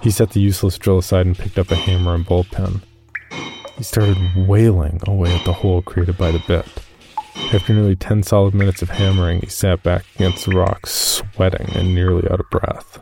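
A man playing an uneasy cave explorer, recounting his expedition in measured first-person horror narration. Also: low-pitched, level tone, gravelly. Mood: uneasy, subdued. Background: music.

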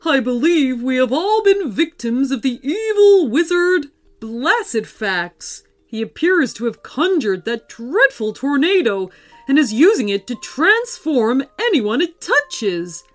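A woman voicing a kindly old male wizard in a children's story, with theatrical, drawn-out delivery. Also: sits high, big pitch swings, faint music behind, full character voice. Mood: grave, instructive. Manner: theatrical, exclamatory, drawn-out words.

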